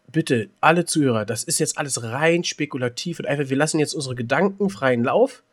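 Male podcast co-host, rambling and excitable, slightly high voice, jokey casual delivery.

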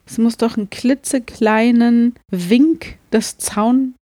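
A woman co-hosting a podcast, explaining things in a casual, halting, self-correcting way. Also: a little high, steady tone, warm tone, no echo. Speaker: podcast co-host.

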